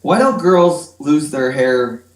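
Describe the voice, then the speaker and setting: A man's voice: on the high side, expressive, slow pace. A man with a mid-range voice co-hosting a podcast, trading dry, teasing jokes. His voice sounds roomy and echoey. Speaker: podcast co-host. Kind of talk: casual banter.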